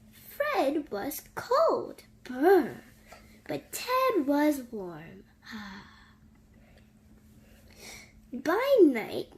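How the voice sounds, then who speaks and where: A woman reading a bedtime story aloud to young children in an animated, sing-song, drawn-out way. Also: high-pitched. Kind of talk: slow, expressive bedtime story read-aloud.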